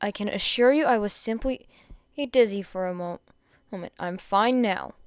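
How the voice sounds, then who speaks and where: A woman voicing a calm, reserved teenage boy in a story reading, with stiff, polite wording. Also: mid-range voice, moderate pace, clear, resonant tone, no echo, studio quality, natural voice. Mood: calm, reassuring.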